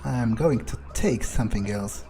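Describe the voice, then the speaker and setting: A man playing a cold, smug vampire in a video game playthrough, musing aloud in a deliberate, sardonic way. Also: on the low side, warm tone, lightly acted, rough recording. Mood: dry, impatient. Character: cold, smug, ruthless male vampire on the prowl. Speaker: gamer role-playing in character. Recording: faint music behind the voice.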